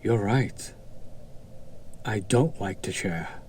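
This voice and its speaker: Guy doing a snooty sardonic demon buyer for an audio drama, really slow drawl, some background ambience noise. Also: fairly low pitch, darkish tone. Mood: suspicious, intrigued. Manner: drawling, condescending, theatrically skeptical. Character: haughty, sardonic male demon buyer at an auction. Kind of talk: scripted roleplay audio drama acting.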